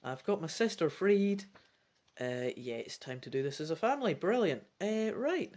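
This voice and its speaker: A woman reading an adventure gamebook aloud in a theatrical, deliberate style. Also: deep voice, very animated, darkish tone. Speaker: gamebook reader and player. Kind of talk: dramatic storybook narration.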